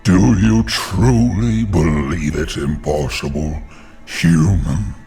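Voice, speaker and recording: Very deep, slow ancient tree spirit. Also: male voice, dark tone, faint music behind. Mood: stern. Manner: slow, grave, portentous. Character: ancient tree spirit guardian, solemn and grim. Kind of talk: video game character acting.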